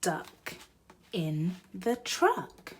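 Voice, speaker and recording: Slow, animated woman reading to children. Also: on the low side, a little hiss or hum. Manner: sing-song, expressive, addressing young children. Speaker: mother and presenter of a children's read-aloud channel. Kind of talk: animated children's storybook read-aloud.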